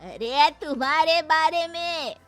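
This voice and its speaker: Woman acting loud, scolding old village mother-in-law. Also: high voice, soft background music, voice pushed up. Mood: shocked scolding. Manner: scolding, emphatic, drawn-out.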